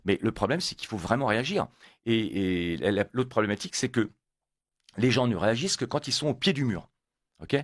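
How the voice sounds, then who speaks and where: A man's voice: on the low side, animated, fairly quick, no echo, studio quality. A man born in Italy, a guest on a radio interview, talking emphatically and repeating words when pressed. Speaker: guest, motorcyclists' federation coordinator.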